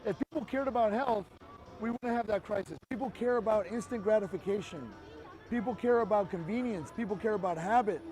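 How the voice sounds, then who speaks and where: A man's voice: sits high, a little fast, darkish tone, low-quality recording. A man with an earnest, emphatic way of talking, making his case to a passerby outdoors on a city street. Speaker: street activist. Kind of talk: persuasive street conversation.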